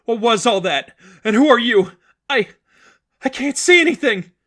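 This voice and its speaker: A man's voice: very high voice, animated, moderate pace, a bit bright, voice pushed up, full character voice. A man voicing a panicked, bewildered young woman in a story, with a stammering, exclaiming delivery.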